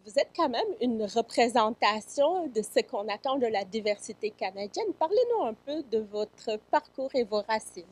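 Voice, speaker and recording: A woman's voice: a little high, big pitch swings, moderate pace, hardly any pauses, a little mellow. A woman hosting a studio interview, speaking in polished, formal phrasing with an occasional filler. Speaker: host.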